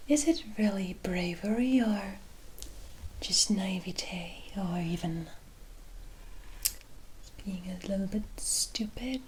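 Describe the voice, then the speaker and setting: An enigmatic forest witch, played by a woman, whispers slowly and mellowly for an ASMR roleplay. She is coy, amused and teasing, with plenty of breath in the voice. Some steady noise sits behind her. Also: medium pitch, close to an everyday voice. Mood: teasing, curious. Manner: slow, coy, teasing, addressing the listener as honey.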